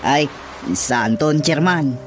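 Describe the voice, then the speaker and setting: Man as slow-talking deferential underling, Ilocano accent. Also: on the high side, faint music behind. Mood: coaxing. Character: deferential village subordinate, coaxing the chairman. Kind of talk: Ilocano radio drama comedy acting.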